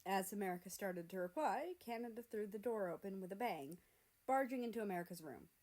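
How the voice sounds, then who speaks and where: A woman's voice: mid-range voice, animated, a little fast, a little mellow. Woman narrating a story expressively. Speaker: fan fiction reader and narrator.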